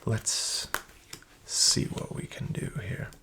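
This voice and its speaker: A man in a soft-spoken roleplay as a smooth, flattering casino dealer, often whispering and slyly nudging the listener to bet more. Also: takes it slowly, background noise. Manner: whispery, with much unvoiced soft speech. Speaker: ASMR roleplay creator playing a casino dealer.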